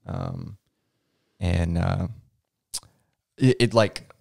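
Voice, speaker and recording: A man's voice: low-pitched, huge pitch swings, drawn-out words, gravelly, a little mellow, breathy edge, no room sound. A young man co-hosting a podcast, chiming in easygoingly with asides, recorded on a close studio mic in a small room. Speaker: podcast co-host. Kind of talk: casual conversational podcast. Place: small room indoors, seated in armchair. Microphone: boom-arm studio mic close in front.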